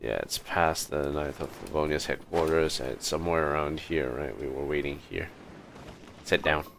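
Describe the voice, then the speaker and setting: A man's voice: very deep voice, normal speed, gravelly, fairly bright tone, slight background noise. A man giving casual gaming livestream commentary, full of interjections and playful asides. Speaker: game livestreamer. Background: game music.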